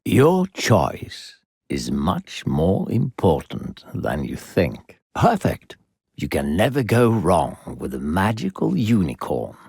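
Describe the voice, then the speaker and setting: A male voice actor playing a smooth-talking, secretly sinister video game narrator, theatrical, coaxing and condescending toward a child. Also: fairly low pitch, a little mellow, no echo, studio quality, heavily acted. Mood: coaxing, sly.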